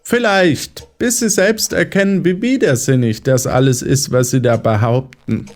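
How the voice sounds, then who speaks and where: An actor playing a patronising, condescending psychiatrist who explains in measured phrases in a German audio drama. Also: male voice, mid-range voice, a little mellow, natural voice. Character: smug, patronising male psychiatrist, calmly condescending.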